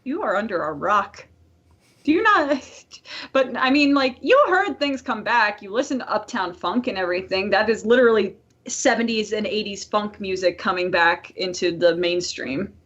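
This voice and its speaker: Female podcast co-host, mid-pitched, chatty and informal, free with swearing, on slightly lo-fi sound. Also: lively intonation, moderate pace, a bit bright. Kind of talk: casual podcast chat.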